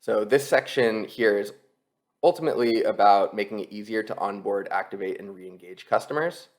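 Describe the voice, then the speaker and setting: A man's voice: lowish voice, moderate pace. A man presenting an explanatory screen-share tutorial as an instructor, talking conversationally and explaining things step by step.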